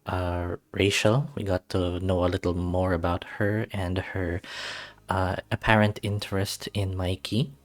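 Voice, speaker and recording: A man's voice: deep voice, flat delivery, unhurried. A man reading a visual novel aloud as a first-person narrator. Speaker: let's-play reader.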